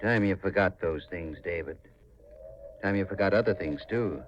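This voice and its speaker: A man's voice: deep voice, normal speed, close to an everyday voice. An actor playing a plain-spoken, fatherly older village man in a 1950s radio drama, with a rustic manner. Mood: gently chiding. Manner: folksy, rustic. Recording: muffled 1950s radio recording, faint noise.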